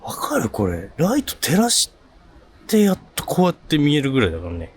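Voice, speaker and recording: Jumpy, frightened man with swooping pitch. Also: huge pitch swings, brisk. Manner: jumpy, easily scared, exclaiming and muttering. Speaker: ghost-spot explorer and video host. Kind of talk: on-location paranormal vlog, casual talk. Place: outdoors on a suspension bridge at night. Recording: noisy outdoor location sound.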